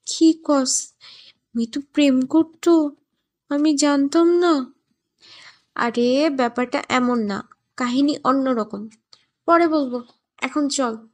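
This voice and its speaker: A high-pitched young female student, played by a woman, teasing and gossipy. She speaks at an unhurried pace in a girlish audio-drama manner. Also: a little mellow. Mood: curious, gossipy. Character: chatty, teasing young female college friends. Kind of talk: scripted audio story dialogue.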